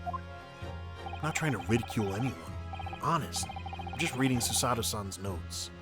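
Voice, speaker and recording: An earnest young male lawyer, acted by a man for a courtroom video game. He sounds nervous yet sincere as he reasons his way through an argument. Music runs clearly behind the voice. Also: medium pitch, expressive, moderate pace, lightly acted. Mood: flustered. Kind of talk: dramatic video game dub. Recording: music under the voice.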